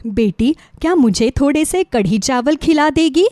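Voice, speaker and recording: A woman voicing a hungry, humble old woman who pleads and gives blessings in an animated children's story. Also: sits high, a little slow, no room sound, studio quality. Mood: pleading. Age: older.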